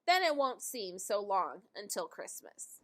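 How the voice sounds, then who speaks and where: A woman voicing a kindly, patient storybook grandmother who is firm and gently coaxing. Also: mid-range voice, big pitch swings, unhurried, voice pushed down.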